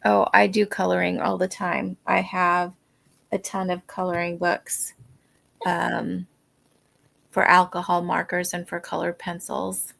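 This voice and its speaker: A woman's voice: fairly low pitch, monotone, unhurried, gravelly, a bit bright. A woman hosting a livestream, chatting casually. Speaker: livestream host of crafting sprints. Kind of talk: casual chat.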